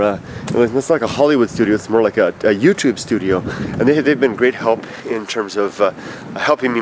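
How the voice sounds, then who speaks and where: Stuffed-up fifties man, casual hesitant vlogger, recorded outdoors with clear traffic noise. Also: on the low side. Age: 50s. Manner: rambling, halting, with many fillers and restarts. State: stuffed up with allergies. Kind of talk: casual video message. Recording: noisy outdoor street recording.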